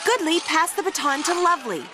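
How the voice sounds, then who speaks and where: A woman reading a children's picture book aloud, with expressive, playful and dramatic emphasis on the words. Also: very high-pitched, brisk, background noise. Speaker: read-aloud storyteller.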